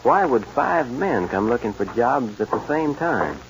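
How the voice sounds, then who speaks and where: An actor playing an easygoing, puzzled American rancher with folksy phrasing in a 1940s radio western. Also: male voice, mid-range voice, sing-song, unhurried. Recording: noisy, muffled 1940s radio recording.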